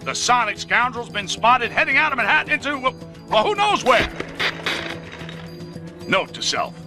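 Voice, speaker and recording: Brash, high-pitched older newsman. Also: lively intonation, normal speed, music in the background. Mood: brash, sardonic. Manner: brash, sardonic. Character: blustering, opinionated older newsman on live broadcast. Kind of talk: newscast parody in video game cutscene.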